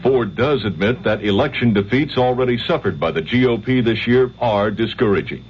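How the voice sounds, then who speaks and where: A man's voice: lowish voice, moderate pace. An American man anchoring a scripted hourly network radio news bulletin. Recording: dull, faintly noisy 1970s radio recording.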